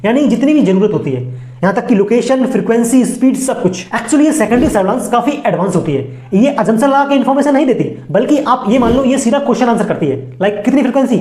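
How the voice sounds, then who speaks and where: Woman, Indian accent, fairly high voice, chatty explainer narration, playful asides, echoing room. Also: dark tone. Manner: chatty, colloquial, addresses viewers directly with playful asides. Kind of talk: informal educational voice-over. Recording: roomy, echoing voice-over.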